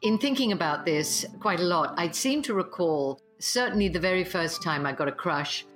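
A woman's voice: fairly low pitch, animated, moderate pace, fairly bright tone, soft background music. A woman with an English accent, casually and reflectively sharing personal memories. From England. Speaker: discussion participant.

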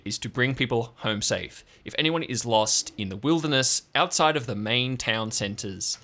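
A man's voice: mid-range voice, bright, forceful tone. A man voicing an abrupt, authoritative female park ranger chief in an improvised audio drama.

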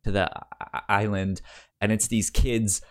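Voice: deep voice, fairly even intonation, unhurried. A podcast co-host chatting casually about a film, stammering and often repeating syllables and words.

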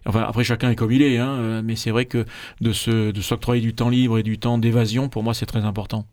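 A middle-aged man, a chef guest on a radio show, speaking in a relaxed and hesitant way with many fillers. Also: lowish voice, a little fast. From Marseille, France. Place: radio studio.

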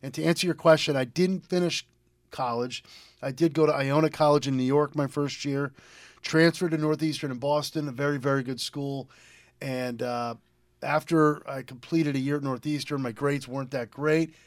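Guy in his 50s from connecticut, kinda high voice, rambly casual radio guest, dry sound. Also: steady tone, normal speed, dry recording. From Connecticut, United States. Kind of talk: casual interview, personal storytelling.

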